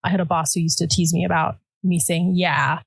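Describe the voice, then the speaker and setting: A woman's voice: lowish voice, steady tone, fairly quick, vocal fry, husky, no room sound, rough recording. A woman who grew up in the United States and whose first language is Dutch, chatting casually as a podcast guest and saying "like" often. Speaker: podcast guest, quilt pattern designer. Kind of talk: casual interview chat.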